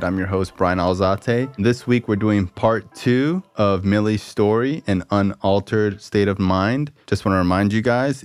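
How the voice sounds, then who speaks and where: A man in his thirties hosting a podcast, chatty and relaxed, often humming agreement while his guest talks. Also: lowish voice, no echo. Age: 30s. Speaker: podcast host. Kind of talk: casual podcast interview.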